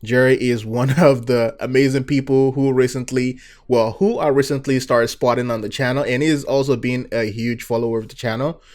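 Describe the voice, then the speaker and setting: A young man from Nigeria with a Nigerian accent, hosting a gaming channel and chatting through viewer questions in a relaxed, filler-heavy way. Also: mid-range voice, normal speed, few pauses. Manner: relaxed, chatty, many fillers and "you know"s. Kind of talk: casual Q&A talk to viewers.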